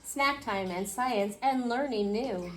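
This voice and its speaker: A woman reading a picture book aloud to a class, expressively, pausing to question her young listeners. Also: a little high, a bit bright. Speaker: children's storybook reader. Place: indoor school classroom. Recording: clearly noisy classroom recording.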